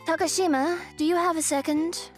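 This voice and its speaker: Woman acting stern, high-voiced office boss. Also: steady tone, faint music behind. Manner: stern, brisk, commanding. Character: tough, feared female office boss, secretly caring. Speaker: voice actress. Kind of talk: dramatized manga dub.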